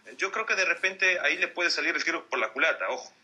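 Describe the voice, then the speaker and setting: A man's voice: a little high, expressive, sharp tone. A man from Italy giving political analysis as a radio interview guest over a Skype call, searching for words and repeating himself. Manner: halting, careful word-searching with repetitions.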